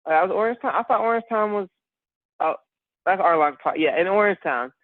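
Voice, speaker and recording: A man talks in loose, rambling bursts on a podcast, tossing in bro and man as he goes. His voice sits a bit high and sounds dry and close, squeezed into a narrow phone-like band. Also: fairly quick, no room sound. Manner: rambling, animated, says bro and man a lot. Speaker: podcast co-host. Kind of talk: casual podcast chat.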